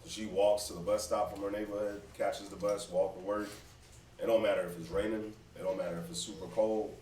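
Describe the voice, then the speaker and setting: Low-voiced man in an echoey room. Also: a little fast. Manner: relaxed storytelling, backchannels. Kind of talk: casual chat. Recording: echoey room, distant mic, faint noise.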